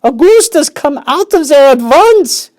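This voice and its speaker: A man voicing a panicky, shrill middle-aged mother in a children's storybook reading, shouting high and loud. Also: animated, moderate pace. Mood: frantic shrieking. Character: shrieking panicky mother, umbrella-waving, middle-aged woman.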